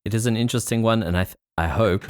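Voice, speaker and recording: A man's voice: on the low side, fast talker, a little mellow, no echo. A man hosting a podcast, talking in a casual, reflective way with a tentative, meandering delivery. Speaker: podcast host.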